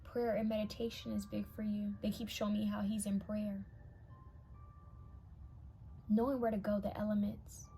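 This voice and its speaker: A young woman giving a casual pick-a-card tarot reading to her viewers, her voice a little raspy from many readings, now and then dropping to a whisper as she reads the cards. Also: mid-range voice, level tone, moderate pace, long pauses, warm tone, soft background music. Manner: intuitive, addresses viewers directly, drops to whispers while reading cards.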